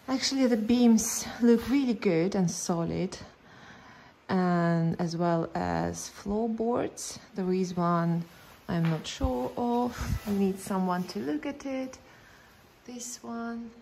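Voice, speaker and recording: A woman's voice: medium pitch, vocal fry, mellow, breathy edge, poor audio. A woman in her thirties vlogging her house renovation, chatty and cheerful, filming on a phone at arm's length. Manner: chatty, cheerful, laughs often. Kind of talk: casual vlog narration. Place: outdoors on a lakeside hill, then an empty house under renovation. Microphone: phone held at arm's length.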